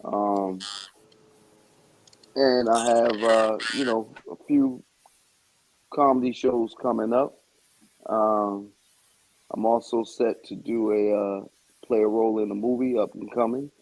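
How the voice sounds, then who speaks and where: A comedian and actor talking about his upcoming shows on a video call, halting with many ums and uhs. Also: on the low side, fairly even intonation, darkish tone, rough recording. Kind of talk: casual video call interview.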